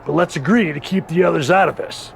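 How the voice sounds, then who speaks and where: Scheming wrestling manager, game cutscene, gravelly, slightly raised pitch, calm persuasive taunting delivery. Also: male voice, brisk, slight background noise. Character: scheming male rival wrestling manager, smooth-talking. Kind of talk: video game cutscene dialogue.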